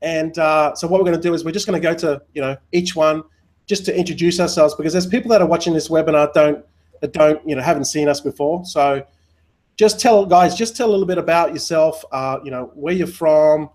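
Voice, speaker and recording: A man's voice: on the high side, a little fast, a little mellow. A man hosting a live webinar, talking loosely with lots of fillers and a friendly manner. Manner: rambling, many fillers, friendly. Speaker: webinar host.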